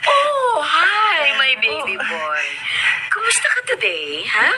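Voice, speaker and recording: A woman with a Filipino accent acting a doting but burdened mother in a radio drama, expressive in her delivery. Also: high voice, slow, full character voice. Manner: expressive, mixes English into Tagalog. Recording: very noisy radio drama recording, clicks.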